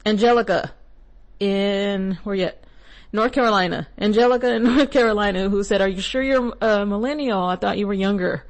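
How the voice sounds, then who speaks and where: A young woman hosts her own podcast and talks through spiritual goal-setting advice in a loose, chatty way. She wanders into side comments and laughs at herself, and her pitch sits in a middle range for a woman. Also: moderate pace. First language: English. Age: young adult. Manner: chatty and rambling, with frequent asides and laughs. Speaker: podcast host. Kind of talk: casual spiritual advice monologue.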